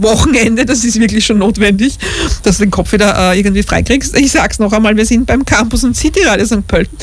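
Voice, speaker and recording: A woman with an Austrian accent hosting a casual live radio interview in a studio, chatting and laughing easily with guests. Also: mid-range voice. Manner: chatty, laughs often, warm questions. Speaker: radio host. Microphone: studio microphone.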